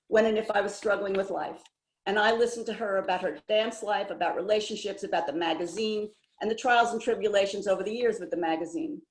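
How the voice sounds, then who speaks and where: A woman in her seventies reading a heartfelt, earnest personal tribute as a speaker at an online memorial. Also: mid-range voice. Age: 70s. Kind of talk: prepared personal tribute read aloud. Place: indoors on an online video call. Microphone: video call microphone. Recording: roomy, echoey online call.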